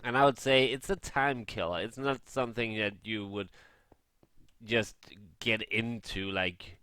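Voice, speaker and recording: A man with a crisp, bright voice talks casually as a gaming vlogger. He is halting and rambles as he reviews while playing. The sound is close and very dry. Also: fairly low pitch, no room sound. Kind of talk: casual game review chat over gameplay.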